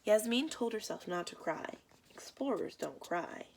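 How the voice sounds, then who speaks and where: Animated woman reading picture books aloud. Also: mid-range voice, a little slow, vocal fry, a little hiss or hum. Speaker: librarian reading aloud to children. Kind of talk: children's picture book read-aloud and chat.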